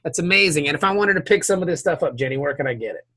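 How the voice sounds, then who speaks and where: A man's voice: on the high side, expressive, racing, pushed and bright, slightly rough. Effusive, teasing male podcast host. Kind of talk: casual podcast banter.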